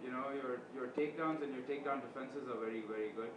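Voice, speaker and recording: A man's voice: mid-range voice, fast talker. Male interviewer, talkative questioner; distant, roomy, noisy recording. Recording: roomy, distant mic with background noise.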